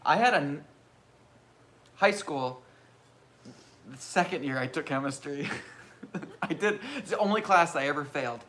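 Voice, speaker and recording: A man in his thirties with a loud voice, hosting a casual live question-and-answer chat and rambling with self-mocking humor. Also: fairly high pitch, expressive, measured pace, pauses often, a little hiss or hum. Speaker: channel host.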